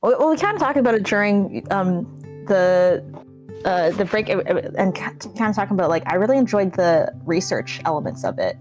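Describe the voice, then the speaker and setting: A woman chatting casually as a game developer guest, halting and correcting herself as she goes. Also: medium pitch, animated, a little mellow. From Canada. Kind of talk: casual chat. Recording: faint music behind the voice.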